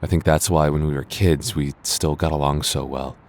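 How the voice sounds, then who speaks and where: Man acting as young childhood-friend love interest, low voice, stammering, bantering, bashful, boyfriend audio roleplay. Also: steady tone, normal speed. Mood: embarrassed, sincere. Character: teasing, embarrassed young male childhood friend confessing love.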